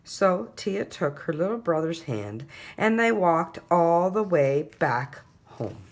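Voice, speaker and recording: A woman reading a picture book aloud as an expressive children's story-time narrator, deliberately drawing out key words. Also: fairly low pitch.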